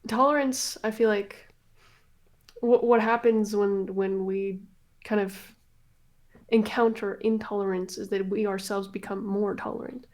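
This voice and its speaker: A woman from Canada, born in Colombia, speaks at a medium pitch. She is the guest in an interview and talks in a casual, chatty style. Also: steady tone, unhurried. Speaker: interview guest, streamer and singer. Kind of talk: casual chat.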